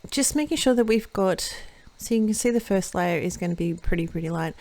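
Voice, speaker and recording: A woman hosting a watercolour card-making tutorial, chatting through each step as she paints and often correcting herself mid-sentence, her voice a little croaky. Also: medium pitch, animated, a little fast, darkish tone, no room sound. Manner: chatty, self-correcting, thinks aloud while painting. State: slightly croaky voice. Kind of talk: casual step-by-step craft demonstration.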